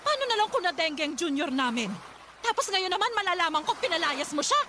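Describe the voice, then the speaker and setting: A woman's voice: very high-pitched, very animated, fast, bright, forceful tone, a little hiss or hum, heavily acted. A woman playing a hot-tempered, overprotective middle-aged mother-in-law in a Filipino radio drama, scolding and taunting. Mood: angry scolding.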